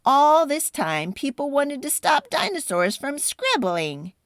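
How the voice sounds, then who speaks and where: A woman hosting a children's read-aloud, speaking with expressive, deliberate, dramatic storybook phrasing. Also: sits high, no echo, clean studio sound.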